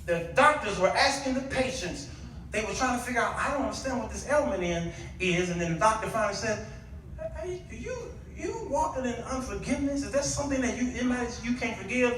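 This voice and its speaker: A man preaching an impassioned sermon, exhorting his listeners with pauses and rhetorical questions. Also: very high-pitched, lively intonation, a bit bright, slight background noise. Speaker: guest preacher. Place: large echoey indoor church with congregation. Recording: echoey church, distant mic, congregation responding.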